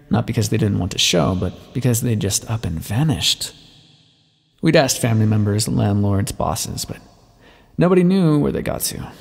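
A man playing a bitter, wry vampire and former rebel leader, confiding his past in an ASMR roleplay with a gravelly, husky voice. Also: medium pitch, darkish tone, heavily acted. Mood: brooding, regretful. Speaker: audio roleplay performer. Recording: long reverberant echo.

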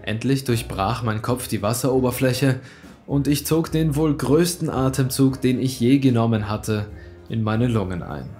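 A man narrates a horror tale. His voice sits at a medium pitch, and he tells the story in a suspenseful, dramatic way. Music plays behind him. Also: lively intonation, normal speed, warm tone. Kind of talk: dramatic scripted creepypasta narration. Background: background music.

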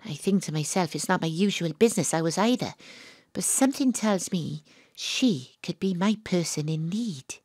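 A woman's voice: lowish voice, expressive, moderate pace, darkish tone, husky, no echo, clean studio sound. A woman playing a wry, world-weary private detective who narrates her own story in a confiding way in an audio drama. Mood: wry, reflective. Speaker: narrator and lead character.

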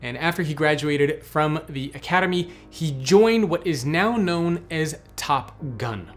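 A man's voice: fairly high pitch, expressive, measured pace. Male host, thirties, earnest storyteller, talks straight to camera, faint music bed. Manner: earnest, storytelling, direct address. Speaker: podcast host. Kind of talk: scripted motivational talk to camera. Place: small studio lit with neon tubes, indoors.

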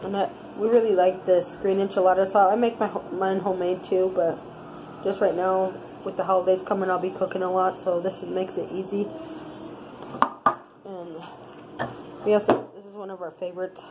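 Congested woman with a flat, stuffy voice. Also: medium pitch. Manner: plain listing, unhurried, unscripted. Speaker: family channel host. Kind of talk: grocery haul show-and-tell. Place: home kitchen, indoors. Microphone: handheld phone camera. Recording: noisy home phone recording.

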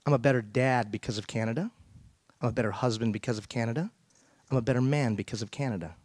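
A man's voice: mid-range voice, fast talker, a little mellow, dry recording. Emphatic man giving a keynote speech. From Iran. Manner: emphatic, rhetorical, asks the audience questions. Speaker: keynote speaker. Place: large theater stage. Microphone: headset mic.

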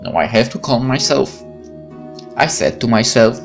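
Male game narrator, very varied intonation, expressive story reading, faint music underneath. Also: medium pitch, normal speed, creaky. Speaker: gaming channel narrator. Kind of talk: reading a visual novel aloud with asides. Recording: music behind the voice.

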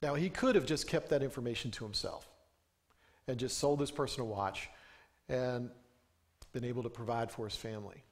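A man's voice: mid-range voice, normal speed, pauses often. A man in his thirties, a pastor giving a sermon from a pulpit microphone in a small church, telling stories in an earnest way and lifting his voice for emphasis. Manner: earnest storytelling with emphatic rising lines. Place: small church sanctuary, indoors.

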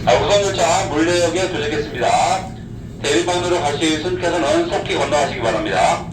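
A man makes formal subway announcements in a fairly high voice, instructing passengers and repeating directions. The sound is distant and echoey, as recorded in a train station. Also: fast talker. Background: loud train and station noise.

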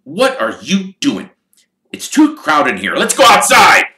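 A man's voice: a little high, wildly swooping pitch, a little slow, bright, forceful tone, voice pushed up, full character voice. A man reading aloud and voicing a harried middle-aged father wizard who speaks up urgently. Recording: echoey room.

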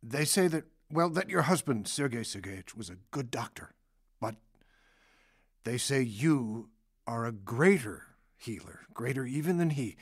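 An actor playing a gentle but persistent male patient in a radio drama, questioning earnestly and a little hesitantly. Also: mid-range voice, no room sound, studio quality. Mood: probing, hesitant.

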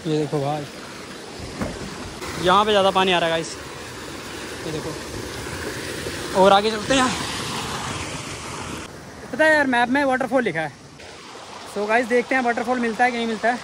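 A man's voice: high-pitched, moderate pace, long pauses. A man with a North Indian accent narrating a casual travel vlog outdoors, talking to viewers in a colloquial, exclamatory way. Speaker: vlogger. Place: outdoors, much of it on a moving motorbike. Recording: noisy outdoor action camera, vehicle and street noise.

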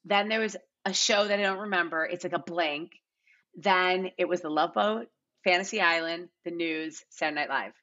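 A middle-aged American woman chatting casually as an interview guest, animated and emphatic, often acting out quoted asides. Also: fairly low pitch, moderate pace. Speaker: guest, TV and film casting director. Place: bright living room on a video call. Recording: dull lo-fi video-call audio.

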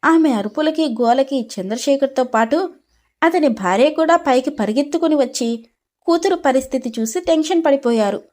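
A woman's voice: sits high, animated, normal speed, a little mellow. A woman reading scripted dramatic story narration as the storyteller.

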